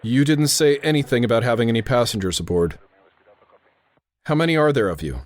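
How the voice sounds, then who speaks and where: An actor playing a steady, grave radio operator who gives precise, authoritative instructions and narrates in the style of old-time radio drama. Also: male voice, mid-range voice, brisk, close to an everyday voice. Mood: controlled.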